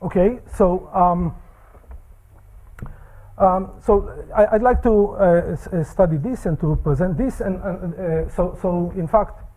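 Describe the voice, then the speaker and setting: A man giving a technical seminar lecture in a seminar room, with a soft voice, halting speech and many fillers. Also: high-pitched, low-quality recording.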